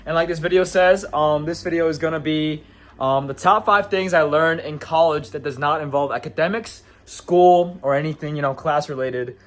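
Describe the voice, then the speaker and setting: A man's voice: on the high side, normal speed. A man in his early twenties giving a casual talking-to-camera reflection, rambling with many fillers and restarts and laughing at his own slips. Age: 20s. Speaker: vlogger.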